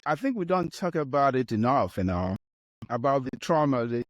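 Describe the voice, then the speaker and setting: A man's voice: medium pitch, big pitch swings, normal speed, no echo, highs cut off. A man from Nigeria, a physician hosting an interview, talking emphatically and cutting in with his own opinions. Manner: emphatic, cuts in with reactions and opinions. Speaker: host, physician. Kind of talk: interview conversation.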